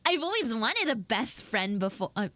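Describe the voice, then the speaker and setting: A woman voicing a flustered, grudging tsundere frog girl in a live audio roleplay, speaking casually with halting stammers. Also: fairly high pitch, very animated, bright, forceful tone. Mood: awkwardly apologetic. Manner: halting, stammering, drawn-out delivery.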